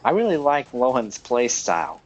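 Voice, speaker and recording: A man's voice: medium pitch, big pitch swings, moderate pace. Muffled male game streamer. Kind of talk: livestream game commentary, reading dialogue aloud.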